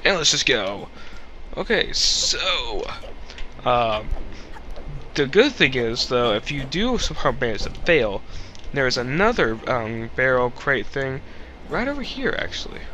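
A man's voice: mid-range voice, very animated, unhurried, pushed and bright, a little hiss or hum, low-quality recording. A young man hosting a Let's Play with casual, rambling, jokey gameplay commentary and a slightly stuffy nose. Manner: rambling, goofy, jokey asides.

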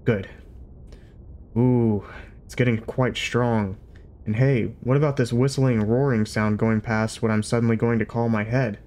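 A man's voice: mid-range voice, fairly even intonation, unhurried, darkish tone, natural voice. A man voicing a bewildered newborn whale in a storybook reading, chattering naive questions to itself. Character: bewildered newborn whale falling through sky.

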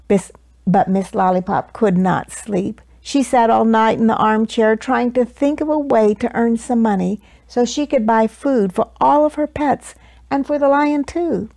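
A woman's voice: fairly high pitch, a little mellow, no room sound. Older woman reading a storybook, dry sound. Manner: deliberate, dramatic emphasis for young listeners. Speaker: children's story reader. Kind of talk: expressive picture-book read-aloud. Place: small living room, indoors. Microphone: lapel mic.

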